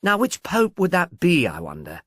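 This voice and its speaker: A man's voice: fairly high pitch, huge pitch swings, moderate pace, no room sound, studio quality, pitched above the performer's own voice. A man voicing an amiable, slightly obtuse police detective in an audiobook, chatty and musing, earnestly puzzled. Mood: puzzled, aggrieved. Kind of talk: audiobook character dialogue.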